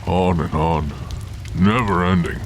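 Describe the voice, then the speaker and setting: A man's voice: deep voice, steady tone, takes it slowly, a little hiss or hum. A man acting a weary, despairing and menacing drunken Santa with a gun in an audio drama, in brooding, broken phrases.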